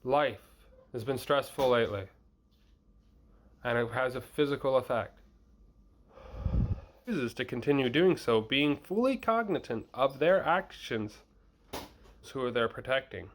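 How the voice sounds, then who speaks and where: A man's voice: medium pitch, expressive, unhurried, pauses often, a little hiss or hum. A man reading a written piece aloud, sometimes stumbling over words and slipping into casual asides. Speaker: host reading a blog post aloud.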